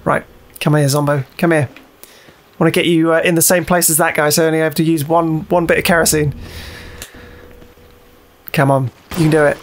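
A man's voice: a little high, little pitch movement, a little fast, long pauses. A man giving casual, muttered, sweary commentary as he plays a game. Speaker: let's play host playing the game.

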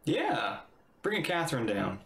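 Male game streamer, middle-aged: casual, animated reaction commentary, echoey room. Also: on the high side, unhurried, vocal fry. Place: indoor room, webcam. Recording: roomy echo. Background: faint game music.

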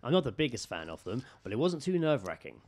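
A man's voice: mid-range voice, very animated, fast, darkish tone. A man with a British accent chatting casually as a podcast host, jokey and sweary as he reads out odd news stories.

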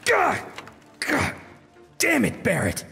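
A man's voice: very high voice, wildly swooping pitch, slow, gravelly, sharp tone, big-room sound, soft background music, voice pushed up, heavily acted. A man voicing a tense, commanding young swordsman in an audiobook, urgently giving orders. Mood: alarmed, yelling.

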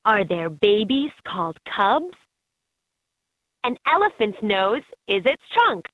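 A woman's voice: medium pitch, sing-song, slow, no room sound. A woman voicing an upbeat guide in a children's educational animal game, in scripted narration with slightly dull game audio.

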